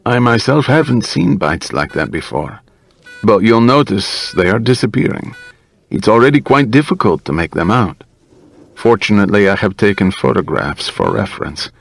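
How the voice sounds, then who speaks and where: A man voicing a courteous, careful hospital doctor in an audiobook, with measured, formal phrasing. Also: fairly low pitch, very animated, creaky, full character voice. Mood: calm, reassuring.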